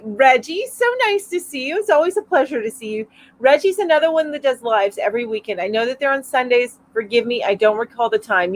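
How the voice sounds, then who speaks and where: A middle-aged woman hosting a casual live-stream chat from home, reading out viewer comments in a chatty, rambling way, a little stuffy from allergies. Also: on the high side. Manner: chatty and rambling, with frequent fillers and laughs. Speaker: host of a weekly live chat. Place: home, mountain area.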